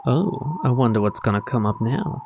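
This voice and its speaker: A man's voice: mid-range voice, expressive, normal speed, gravelly, background noise. A man doing a game playthrough, reading visual novel narration aloud and adding his own asides. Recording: game music behind the voice.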